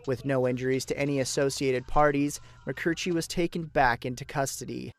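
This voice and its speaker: A man's voice: medium pitch, fairly even intonation, normal speed. A man with an American accent reading scripted news narration as a television reporter.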